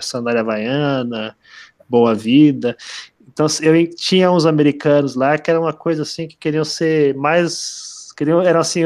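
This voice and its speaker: A man with a somewhat high voice tells stories in relaxed conversation. He hesitates and restarts often, and the recording sounds dry and close. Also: dry recording. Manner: hesitant storytelling with many fillers and restarts. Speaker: podcast co-host. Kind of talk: casual chat, telling anecdotes.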